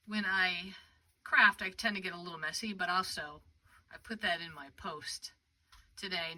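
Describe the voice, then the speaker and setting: A woman's voice: on the low side, sharp tone. A congested woman chatting and thinking aloud as she demonstrates a craft project live. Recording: distant mic with background noise.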